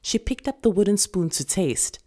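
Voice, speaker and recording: A woman's voice: medium pitch, lively intonation, normal speed, dark tone. A woman with a South African accent reading a literary short story as a scripted narration.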